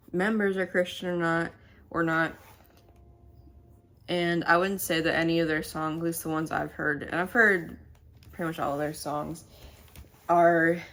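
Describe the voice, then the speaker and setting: Low-voiced, stuffy-nosed woman vlogging. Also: a bit bright. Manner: rambling and halting, many fillers. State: stuffy, nasal. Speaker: channel host. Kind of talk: casual to-camera vlog chat. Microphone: camera mic.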